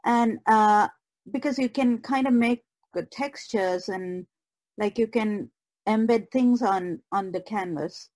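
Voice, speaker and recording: A woman guest artist in casual conversation, with halting, hesitant phrasing. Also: medium pitch, darkish tone.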